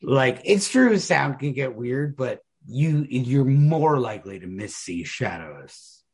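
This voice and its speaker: A man's voice: medium pitch, expressive, slow pace, husky. A man co-hosting a podcast, talking through a story casually and analytically in a rambling, emphatic way, full of "like". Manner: rambling, emphatic, peppers talk with "like". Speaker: podcast co-host.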